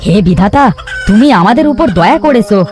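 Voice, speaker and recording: An excitable young village son in a cartoon , acted by a woman, talks in a high, swooping voice. He is earnest and pleading, and music plays behind him. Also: a little fast, lightly acted. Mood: amazed. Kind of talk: cartoon voice acting.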